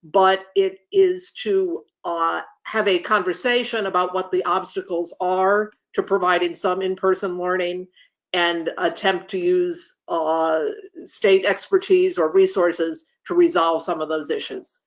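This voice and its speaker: A woman giving a policy briefing in a measured, formal, explanatory way. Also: lowish voice, flat delivery. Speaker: policy presenter. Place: online video meeting. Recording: dull lo-fi video call audio.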